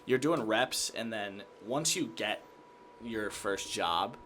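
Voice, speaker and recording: A young American man with a slightly low voice talks in a casual, energetic way, like a sportscaster guest on a show. He often slips into quoting himself. There is some background noise around him. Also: takes it slowly. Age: young adult. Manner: energetic, animated self-quoting. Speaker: guest, TV sports reporter and anchor. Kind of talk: casual chat.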